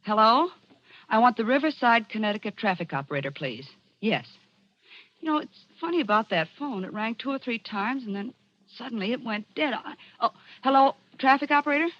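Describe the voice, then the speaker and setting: Worried, tough gangster's secretary. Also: female voice, a little high, sing-song, fairly quick. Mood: businesslike. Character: worried, quick-witted gangster's secretary, a tough young woman. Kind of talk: 1940s radio drama acting. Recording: dull vintage 1940s radio recording.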